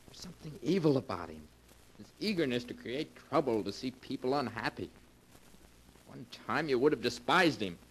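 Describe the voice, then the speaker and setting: An earnest, lovesick young man from a 1940s radio drama, acted by a man, pleading in a steady mid-range voice. Faint hiss from the old recording runs underneath. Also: mid-range voice, normal speed, natural voice, rough recording.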